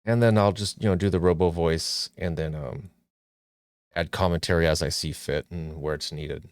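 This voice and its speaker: A man's voice: low-pitched, fairly even intonation, moderate pace, no echo, clean studio sound. A man hosting his own movie talk show, chatting casually with jokes and plenty of restarts. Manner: joking, rambling, frequent restarts and fillers.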